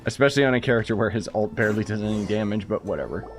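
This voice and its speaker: A man's voice: lowish voice, racing, soft background music. A man giving casual playthrough commentary as a gaming streamer, with wry, sarcastic asides.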